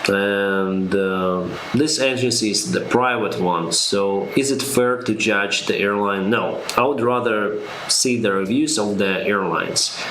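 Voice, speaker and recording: A man from Ukraine with a Russian accent answers viewer questions on a live stream. He speaks slowly and haltingly, with a lowish voice and a lot of "uh" and "um." The audio is echoey, with a steady background hiss. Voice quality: slow, halting speech with fillers. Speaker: airline pilot and streamer.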